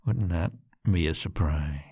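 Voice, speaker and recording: A man speaking softly and teasingly to the listener in an intimate monologue, often dropping to a whisper and chuckling between phrases. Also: low-pitched, creaky, husky, no room sound.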